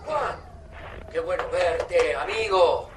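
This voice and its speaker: Radio drama sidekick: friendly, thoughtful Argentine man, swooping delivery, clearly noisy background. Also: sits high, lively intonation, takes it slowly. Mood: warm, teasing. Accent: Argentine Rioplatense. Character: Favali, warm, reassuring, analytical old friend of the hero. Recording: noisy, distant mix with vehicle sounds.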